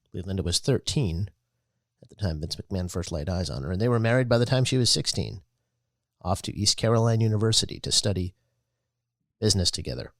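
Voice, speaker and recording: A man hosting a podcast, giving scripted public-radio-style narration in a measured, earnest way. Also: low voice, animated, fairly bright tone, dry recording, clean studio sound. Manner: measured, earnest storytelling delivery. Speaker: podcast host.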